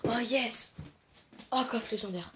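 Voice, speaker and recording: A woman's voice: a little high, slow pace, sharp tone. A woman with a stuffy, congested voice from a cold, giving live gameplay commentary in short, repeated exclamations. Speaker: gaming channel host.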